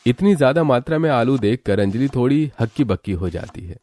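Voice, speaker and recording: A man's voice: mid-range voice, animated, brisk, warm tone, faint music behind. A man narrating a scripted cartoon story as its storyteller.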